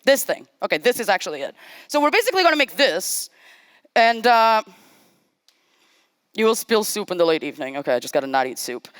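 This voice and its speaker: A woman's voice: mid-range voice, very animated, fairly quick, long pauses, clean tone, no room sound. A young woman from Portugal, giving a casual, self-deprecating live-coding talk on a conference stage. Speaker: conference speaker.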